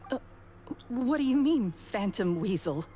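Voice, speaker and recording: A woman playing a gentle, wistful bereaved woman in a video game, reflective and measured. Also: fairly high pitch, animated, breathy edge. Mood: uneasy. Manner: soft-hearted, reflective, measured. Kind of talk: dramatic video game voice acting.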